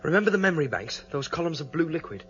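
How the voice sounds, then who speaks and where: Radio drama: guarded fugitive planner, fast-spoken male, reasoning plainly, muffled sound with some noise. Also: on the high side, sing-song, fairly bright tone. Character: calm, determined fugitive leader explaining the plan. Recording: dull radio drama recording, faint hiss.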